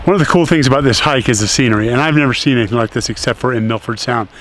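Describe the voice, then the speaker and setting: A man vlogging outdoors on a mountain trail, relaxed with dry understatement. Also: mid-range voice, racing. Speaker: travel vlogger. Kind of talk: casual vlog narration while hiking. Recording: wind and outdoor noise.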